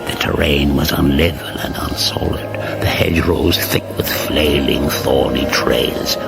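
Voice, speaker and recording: An actor playing a grave, portentous storyteller, narrating a mock-epic radio comedy in a gravelly, breathy voice. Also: male voice, very deep voice, exaggerated voice, poor audio. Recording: loud music behind.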